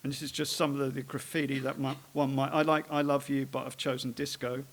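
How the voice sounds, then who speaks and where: A man at middle pitch talks about his art in a loose, unscripted way. He stops and restarts often, filling gaps with ums and uhs as he wanders from point to point. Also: level tone. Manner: rambling, halting, many fillers and restarts. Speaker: artist giving a talk on his work.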